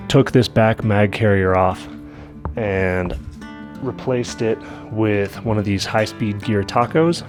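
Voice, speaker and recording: A man with a low voice, a bit stuffy from an oncoming cold, walks through his shooting gear piece by piece. He talks at home in a casual, matter-of-fact way, with guitar music playing clearly behind him. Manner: detailed, matter-of-fact technical talk. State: coming down with a cold. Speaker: vlogger.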